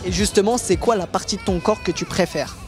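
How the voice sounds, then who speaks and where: A young man works a city street with a handheld mic, asking passers-by question after question. His voice sits high and the words tumble out fast. Music runs underneath. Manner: chatty, prompting with follow-up questions. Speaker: street interviewer. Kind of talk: casual street interview.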